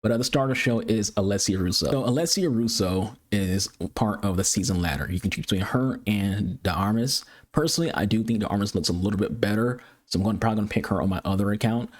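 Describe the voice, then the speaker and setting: Fast-talking man with cluttered speech. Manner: excitable high-pitched greeting. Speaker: gaming YouTuber. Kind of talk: casual game review commentary.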